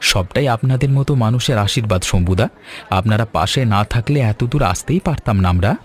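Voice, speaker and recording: A man voicing a friendly young food seller, earnest, polite and chatty, in an animated story. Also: lowish voice, moderate pace, close to an everyday voice. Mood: eager, friendly. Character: eager young fish-fry seller, friendly village man.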